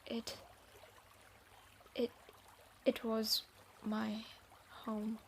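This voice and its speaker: A woman playing a guarded, troubled thief in a fantasy audio drama, speaking haltingly and reluctantly softening. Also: on the high side, monotone, a little hiss or hum. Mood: troubled, confessing. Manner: hesitant, sighing, halting delivery. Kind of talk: scripted roleplay audio drama.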